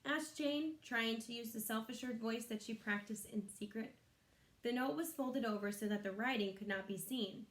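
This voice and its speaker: A woman's voice: on the high side, normal speed. A woman reading a children's story aloud expressively, like a teacher, in an echoey room.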